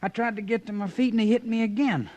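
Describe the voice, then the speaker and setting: An actor playing a dazed, apologetic young cowhand who talks haltingly, in a 1950s radio western. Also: male voice, high voice, fairly even intonation, darkish tone, natural voice. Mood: dazed, rueful. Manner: halting, groggy.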